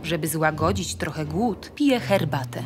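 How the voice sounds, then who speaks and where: A woman reading scripted, storybook-like narration for an audio play in a measured, deliberate way. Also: deep voice, expressive, music in the background.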